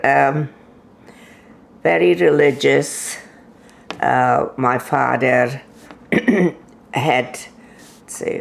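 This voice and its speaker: A woman in her nineties, from Hungary, telling her life story slowly in an interview, with many pauses and fillers. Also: rumbling low, vocal fry, slight background noise. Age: 90s. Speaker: interview guest. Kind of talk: oral history interview.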